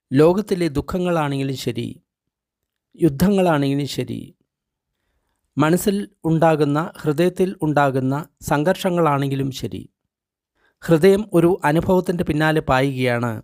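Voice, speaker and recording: Guy reading a guided meditation, bit high pitched, gentle w pauses, really dry sound. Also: dry recording. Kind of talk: guided meditation narration, translated text read aloud.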